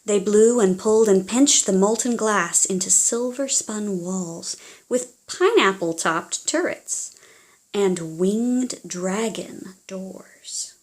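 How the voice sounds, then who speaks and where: A woman reading a children's storybook aloud in a theatrical, expressive way, as if speaking directly to young listeners. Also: medium pitch, slow pace. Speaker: children's audiobook reader.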